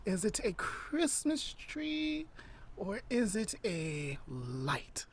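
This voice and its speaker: A woman's voice: mid-range voice, big pitch swings, a little hiss or hum. A woman co-hosting a podcast over a remote call, chatting casually and drawing out her guesses in a word game. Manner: drawn-out guessing questions, playful. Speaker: podcast co-host. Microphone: remote call mic.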